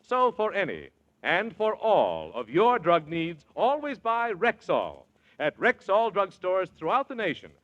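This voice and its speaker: A man's voice: high-pitched, huge pitch swings, unhurried, a bit bright, highs cut off. An American man announcing on a 1940s radio show in a scripted, old-time broadcast style.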